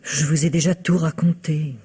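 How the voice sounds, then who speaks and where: An actress playing an anxious, secretive married woman in a French mystery radio play, husky and breathy, hesitant and earnest. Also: sits low, steady tone, normal speed, dark tone. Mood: defensive.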